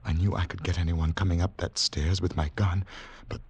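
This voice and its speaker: An actor playing a haunted secret agent on the run, narrating in dramatic, deliberate, clipped phrases in 1940s radio thriller style. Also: male voice, low voice, level tone, husky, heavily acted. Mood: hushed suspense. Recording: noisy 1940s radio recording with clicks.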